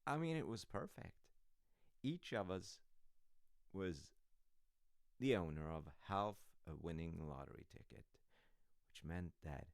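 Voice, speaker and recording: A man playing a loving, sheepish husband in an intimate ASMR roleplay, talking in a halting, rambling, slightly sassy way. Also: low voice, expressive, no echo, clean studio sound. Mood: sheepish, amused. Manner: halting, rambling, hedging delivery.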